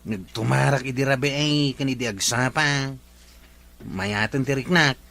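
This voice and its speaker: A man's voice: mid-range voice, a little slow, vocal fry, bright, forceful tone. A man acting a plain-spoken, grumbling village man in a comedy radio drama, with a Filipino accent. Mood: conversational.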